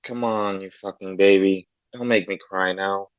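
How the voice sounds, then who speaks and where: A man's voice: deep voice, fairly even intonation, normal speed, clean tone, dry recording, lightly acted. A man reading the part of a brash, foul-mouthed grown man with crude, swaggering banter in an amateur table read. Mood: choked up. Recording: slightly dull lo-fi.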